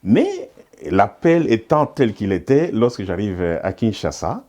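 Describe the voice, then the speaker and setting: Low, mellow-voiced man from Congo. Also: very animated, measured pace, muffled recording. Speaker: pastor. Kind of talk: personal testimony.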